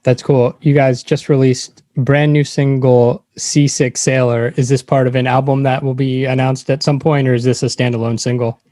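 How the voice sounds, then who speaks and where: A man's voice: mid-range voice, little pitch movement, normal speed, few pauses, warm tone, no room sound, studio quality. A man interviewing guests casually as a podcast host.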